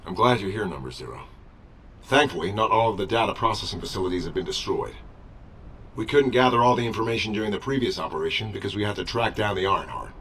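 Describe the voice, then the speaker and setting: An actor playing a loyal, earnest military adjutant in a video game, speaking formally and respectfully. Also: male voice, fairly low pitch, expressive, fast talker, fairly bright tone, far from the mic, slight background noise. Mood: formal, dutiful. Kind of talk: scripted game dialogue.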